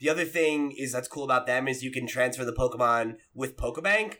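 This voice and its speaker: A man's voice: medium pitch, brisk, bright, forceful tone. A man hosting a casual gaming podcast in a conversational, chatty way. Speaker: podcast host.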